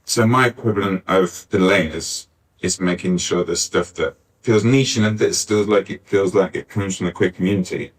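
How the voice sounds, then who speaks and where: A man's voice: sits low, moderate pace, husky. A man speaking informally on a discussion panel, rambling into tangents. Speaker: panel speaker, pantomime scriptwriter. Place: arts centre venue, live panel. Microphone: distant backup recorder. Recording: distant mic backup live recording.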